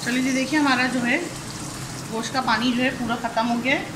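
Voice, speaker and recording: A woman with a Pakistani Urdu accent, calmly talking through a cooking demonstration step by step in her home kitchen. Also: on the high side, fairly quick. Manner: calm step-by-step explaining. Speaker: cooking channel host. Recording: echoey kitchen with sizzling noise.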